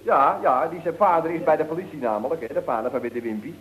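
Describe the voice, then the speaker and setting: Actor as fast-talking cheeky young lad. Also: male voice, fairly high pitch, fairly bright tone, lightly acted. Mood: matter-of-fact. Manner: cheeky, matter-of-fact backtalk. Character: cheeky, slow-witted, talkative young lad. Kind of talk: radio comedy acting. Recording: tinny, narrow-band old radio recording.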